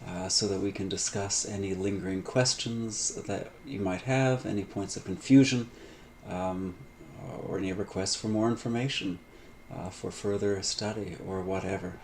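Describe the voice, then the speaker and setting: Middle-aged male professor, lowish voice, measured lecture pace, many uh fillers, faint background hiss. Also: fairly even intonation. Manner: measured, frequent "uh" fillers, drawn-out emphasis on terms. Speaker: professor of biblical Greek. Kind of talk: recorded online lecture over textbook pages.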